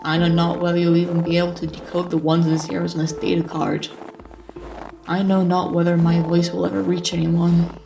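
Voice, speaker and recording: A man playing a weary, sarcastic survivor who narrates life after the apocalypse in a deliberate, sighing, dramatic way. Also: fairly high pitch, completely flat delivery, creaky, background noise. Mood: solemn, hopeful. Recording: music behind the voice. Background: music.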